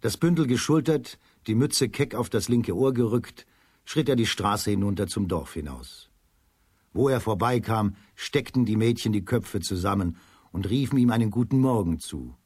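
Low, husky male storyteller. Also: lively intonation, normal speed, darkish tone, no echo, clean studio sound. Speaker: narrator. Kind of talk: scripted storytelling for a children's radio play.

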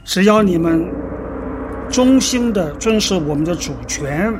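An actor playing a haughty Chinese emperor, declaiming an edict in an imperious, deliberate manner. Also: male voice, high voice, expressive. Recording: loud music behind the voice. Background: music.

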